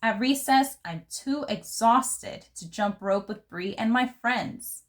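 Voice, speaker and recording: A woman voicing a fancy, dramatic little girl who loves glamour, telling her story from a children's book in an expressive, theatrical way. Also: on the high side, slow. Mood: exhausted, dramatic. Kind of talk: first-person storybook narration for children.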